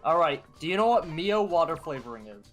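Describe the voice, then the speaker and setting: A man with a fairly high voice talks casually during a game stream. He halts and searches for words. Music plays behind him. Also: sing-song, a little fast, a bit bright.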